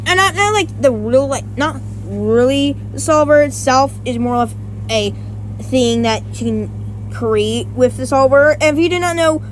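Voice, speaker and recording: A woman with a high voice talks through a casual versus-battle breakdown. She rambles, stops to correct herself and restarts often, dropping in the odd swear word. A faint hum sits behind her. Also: expressive, unhurried, poor audio. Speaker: YouTuber.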